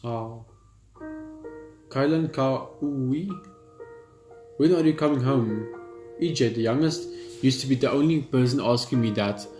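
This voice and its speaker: A man reading a visual novel aloud in first person, steady and reflective, with small slips and restarts. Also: mid-range voice, a little slow, pauses often. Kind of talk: first-person story narration. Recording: roomy with game music. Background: game music.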